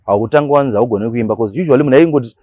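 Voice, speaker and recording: A Shona-speaking man with a Zimbabwean accent, talking casually as an interview guest and explaining things in animated, slangy language with acted-out examples. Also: mid-range voice, very fast, no room sound, studio quality. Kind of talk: casual interview talk mixing Shona and English.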